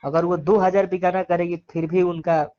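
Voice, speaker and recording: A man's voice: high-pitched, flat delivery, moderate pace, warm tone. A man with a Bhojpuri accent giving opinions in a casual phone interview, earnest and argumentative, repeating phrases for emphasis. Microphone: phone call. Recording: dull lo-fi phone call recording.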